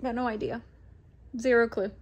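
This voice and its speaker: A woman's voice: on the high side, level tone, moderate pace, muffled recording. A woman chatting informally in a video diary, rambling and correcting herself as she goes. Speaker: daily vlogger. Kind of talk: casual video diary chat.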